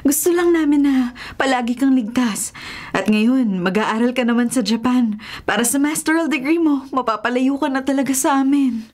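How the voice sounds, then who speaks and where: A woman playing a caring, worried middle-aged mother in a Filipino radio drama, gentle and earnest. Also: high voice, moderate pace, lightly acted.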